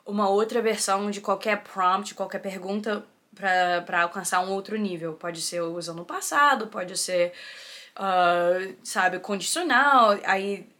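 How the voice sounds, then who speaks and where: A woman being interviewed, giving casual advice in a lively, expressive way. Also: mid-range voice, a little slow. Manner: lively and expressive, animated at times. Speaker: interview guest.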